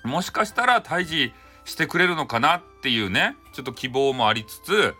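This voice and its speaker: A man's voice: on the high side, big pitch swings, normal speed, bright, forceful tone, slightly rough, soft background music. A man with a Hakata accent from Fukuoka retelling a folk tale casually for bedtime, chatty and folksy with frequent asides. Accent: Hakata (Fukuoka) Japanese. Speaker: storyteller, host of audio show.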